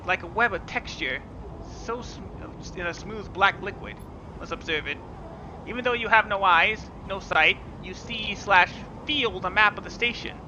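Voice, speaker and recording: A man's voice: high voice, noisy background. A man hosting a gaming video, reading game text dramatically with casual asides. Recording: dull lo-fi sound with game music.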